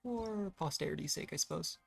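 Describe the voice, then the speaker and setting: A woman's voice: low voice, fairly quick, creaky. A woman streamer giving casual live game commentary, chatty and thinking aloud as she goes. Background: faint game music.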